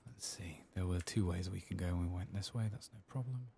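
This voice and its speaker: ASMR gaming host, male: deep, creaky, soft muttered commentary, close mic, noticeable ambient noise. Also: brisk. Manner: soft-spoken, murmuring, muttering to himself. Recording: clear background noise, game ambience, clicking. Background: game ambience and clicking.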